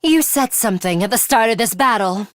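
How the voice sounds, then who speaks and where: A woman voicing a cool, guarded young female fighter in a video game, with a measured, composed and imperious way of speaking. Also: fairly high pitch, lively intonation, no echo, studio quality, natural voice. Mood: coolly confrontational. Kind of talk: English video game voice acting, dramatic anime-style dialogue.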